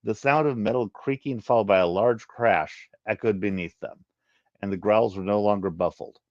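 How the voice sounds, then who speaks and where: A man reading a sci-fi adventure story aloud in a halting way, stumbling and repeating words. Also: lowish voice, a little mellow, no room sound, dull audio. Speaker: reader of a web story, channel host.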